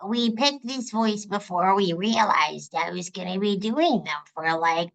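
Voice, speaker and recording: A woman voicing a slow-speaking story character for an audiobook. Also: medium pitch, creaky, a bit bright, voice pushed up. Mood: measured.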